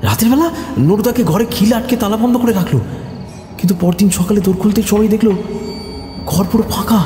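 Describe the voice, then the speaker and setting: An actor playing a rustic young husband who tells village ghost tales in a nervous, halting, folksy way. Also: male voice, high voice, mellow, natural voice. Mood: hushed, uneasy storytelling. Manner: hesitant, halting, folksy storytelling with fillers. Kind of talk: Bengali audio horror story acting. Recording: echoey reverb with music underneath.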